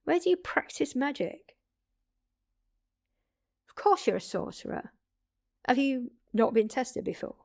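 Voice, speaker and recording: A woman's voice: high voice, sing-song, unhurried, vocal fry, long pauses, full character voice. A woman playing a blunt, wry immortal vampire mentor in a one-sided audio drama, conversational and teasing in her delivery. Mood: incredulous, exasperated.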